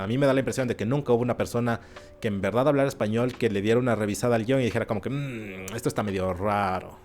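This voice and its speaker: A man giving animated, sarcastic commentary in an opinion video. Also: medium pitch, slight background noise. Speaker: YouTube commentator. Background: faint background music.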